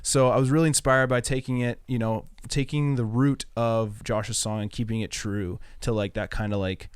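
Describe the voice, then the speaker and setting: A man's voice: mid-range voice, fairly even intonation, moderate pace, smooth, no echo, studio quality. A young man, a music producer, casually and cheerfully walking through how he built a remix. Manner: upbeat, explanatory, casual. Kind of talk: explaining a remix in a studio walkthrough.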